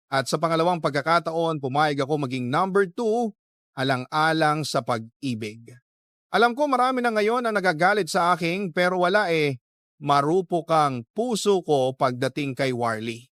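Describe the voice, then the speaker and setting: A man's voice: on the high side, a bit bright, smooth, no room sound, clean studio sound. A Filipino man acting as a fragile-hearted, resigned lover who narrates a confession in a radio drama.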